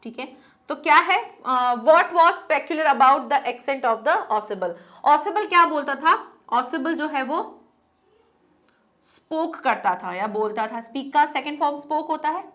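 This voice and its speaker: A woman teacher, teaching an online class. She dictates answers slowly as she writes, then explains them in Hindi mixed with English. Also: high-pitched, lively intonation, fairly bright tone. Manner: slow dictation while writing, mixing Hindi and English.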